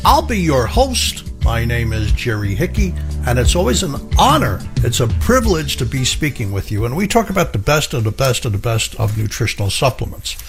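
A middle-aged man with a husky voice, hosting a health radio show as a pharmacist, talking with enthusiasm and stacking up repeated phrases for emphasis. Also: mid-range voice, very animated, normal speed, hardly any pauses, faint music behind. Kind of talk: radio health talk monologue.

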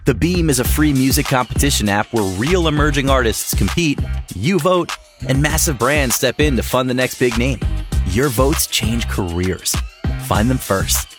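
A man at a middle pitch reads an upbeat, hyped commercial. Clear music plays under him throughout. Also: animated, normal speed. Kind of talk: upbeat ad read.

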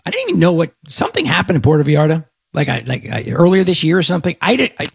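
A middle-aged man from Texas hosting a radio show, talking fast and animated in a rambling, self-promoting monologue. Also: on the high side, creaky, husky, dry recording, studio quality.

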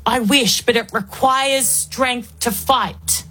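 A woman's voice: a little high, slow, pushed and bright, husky. Punchy Australian woman radio host. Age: 40s. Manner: emphatic, rhetorical, punchy. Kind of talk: political talk radio monologue.

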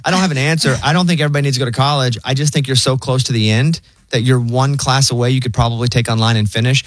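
A man's voice: medium pitch, fairly even intonation, very fast, no echo. A man hosting a morning radio show, teasing his co-hosts in casual banter. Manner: teasing, easygoing.

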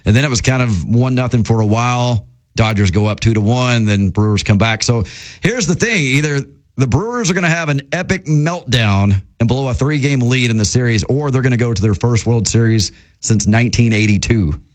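A man co-hosting a sports call-in radio show, chatting casually about games and taking calls. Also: mid-range voice. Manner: lively, also reads ad copy quickly.

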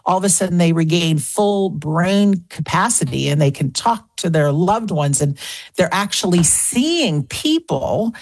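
Middle-aged woman, gravelly voice, emphatic interview guest. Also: lowish voice, animated, unhurried, no room sound. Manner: earnest, emphatic, rising inflections. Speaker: guest author. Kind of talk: radio interview answers.

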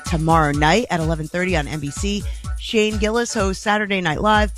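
A woman co-hosting a morning radio show, reading TV and streaming listings in a brisk announcer delivery. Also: fairly low pitch, fairly even intonation, soft background music.

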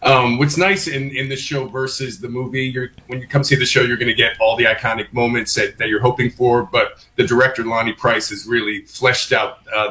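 A man's voice: medium pitch, sharp tone, low-quality recording. A man from Baltimore, a musical theater actor, talking friendly and upbeat in an interview over a video call. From Baltimore, USA. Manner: friendly, upbeat, some fillers.

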